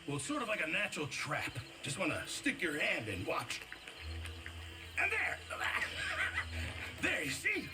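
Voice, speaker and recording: A man's voice: sits high, huge pitch swings, unhurried, long pauses, exaggerated voice. An actor playing a jaunty, boastful older camp cook and former navy man in a video game, theatrical and cajoling. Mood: cheerful teasing. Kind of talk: video game character acting.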